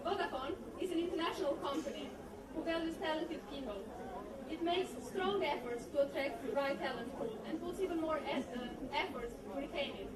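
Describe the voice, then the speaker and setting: A young woman reads an earnest, formal speech to a party of former students. Her voice sits high. The mic is far away in a reverberant hall, and a loud crowd chatters behind her. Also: a little fast. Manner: earnest, formal, reading from notes. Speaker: alumna giving a speech.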